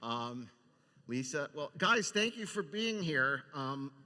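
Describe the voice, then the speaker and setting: A man hosting unscripted, introducing things effusively and enthusiastically, with a halting, filler-heavy delivery. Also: on the high side, sing-song, pushed and bright. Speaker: podcast and convention panel host. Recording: echoey hall with long reverb.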